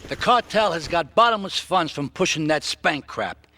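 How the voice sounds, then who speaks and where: A man playing an older mob boss in a game cutscene, gruff and commanding. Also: on the high side, expressive, normal speed, bright, forceful tone, dry recording, exaggerated voice. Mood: grave. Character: older male mob boss, bossy and crude. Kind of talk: video game cutscene acting.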